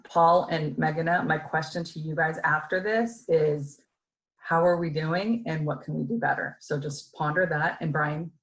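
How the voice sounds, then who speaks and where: A facilitator briskly passing turns to speakers at a board meeting over a video call. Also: mid-range voice, a bit bright, roomy sound, poor audio. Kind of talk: moderating a meeting.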